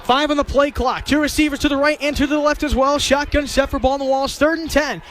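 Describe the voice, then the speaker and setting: A man's voice: very high voice, fairly even intonation, quick pace, a bit bright. A young man doing live radio play-by-play of a football game in vivid, clipped, detailed phrases. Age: young adult. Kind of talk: live play-by-play sports commentary.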